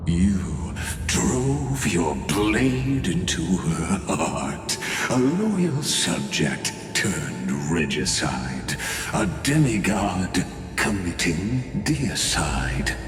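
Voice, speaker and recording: Ancient prisoner mastermind for a game: condescending, theatrical, drawn-out pacing, rock-bottom register, music behind. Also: male voice, deep voice, exaggerated voice. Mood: mocking. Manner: slow, theatrical, mocking, with deliberate dramatic pauses. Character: calm, condescending male imprisoned villain, ancient scheming mastermind. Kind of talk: dramatic video game villain acting. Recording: echoey long reverb, music underneath.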